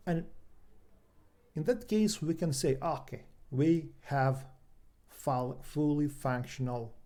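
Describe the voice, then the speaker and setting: A man with a Serbian accent and a mellow, dark tone leads an online technical session as a trainer. His middle-pitched voice explains step by step in halting non-native English, often posing a question before answering it. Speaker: cloud engineer and trainer presenting an online session.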